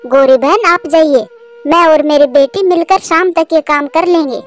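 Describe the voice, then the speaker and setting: A woman voicing a gentle, hardworking mother bird who speaks patiently in a children's cartoon. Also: sits high, normal speed, natural voice. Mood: earnest. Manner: patient, instructive. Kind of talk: Hindi children's cartoon voice acting.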